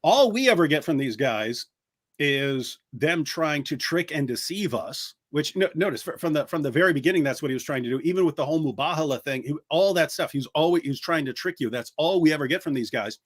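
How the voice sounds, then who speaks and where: Animated man with mocking falsetto jabs. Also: brisk. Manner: animated, mocking high falsetto impressions, many restarts. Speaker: co-host. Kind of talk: casual commentary chat.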